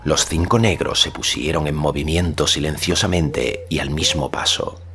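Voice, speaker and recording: A man narrating a literary audiobook in a measured, solemn storytelling manner. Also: very deep voice, sharp tone, a little hiss or hum. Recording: faint music behind the voice.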